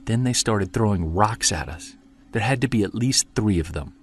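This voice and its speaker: A man's voice: on the low side, animated, normal speed. A man playing an earnest, wry, self-deprecating amateur monster hunter in a naturalistic audio drama. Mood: intense. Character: driven, self-deprecating male amateur Bigfoot investigator.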